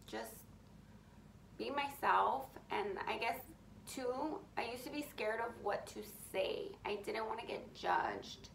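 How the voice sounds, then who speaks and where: A young woman vlogs from her bedroom with a deep, raspy, gravelly voice that sits a touch high for her. She talks openly and a bit rambly, leaning on fillers like "you know" and "like". The room rings with echo, over a faint hum of ambience. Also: slow. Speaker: beauty influencer. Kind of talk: candid sit-down vlog to camera. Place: bedroom at home, indoors. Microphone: camera in front at close range.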